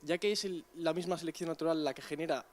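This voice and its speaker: A man in the audience asks an analytical question at a rapid clip. His voice sits somewhat high. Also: slight background noise. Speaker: audience member. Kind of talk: asking a question.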